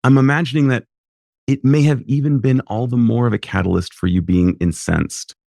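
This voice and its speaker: Podcast host guy, low gravelly voice, warm and slow, dry close sound. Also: no room sound. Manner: warm, affirming, unhurried. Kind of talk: interview questions.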